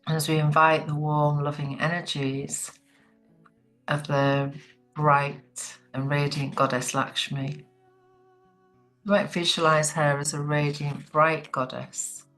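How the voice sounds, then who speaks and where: A woman leading a guided relaxation meditation in a soothing, gentle, unhurried manner. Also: deep voice, poor audio.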